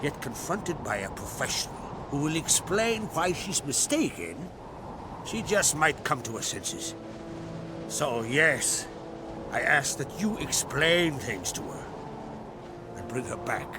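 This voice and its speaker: An actor playing a proud, fatherly island jarl in a video game, speaking in a deliberate, solemn way with rustic phrasing. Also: a little high, big pitch swings, noisy background. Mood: firm, fatherly. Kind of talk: dramatic video game dialogue. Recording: game scene with loud music underneath.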